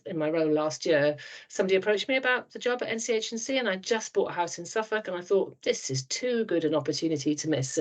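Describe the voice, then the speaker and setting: Welsh woman in her fifties, low voice. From South Wales. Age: 50s. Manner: chatty, frank, many restarts. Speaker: trust board chair, guest. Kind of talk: podcast interview.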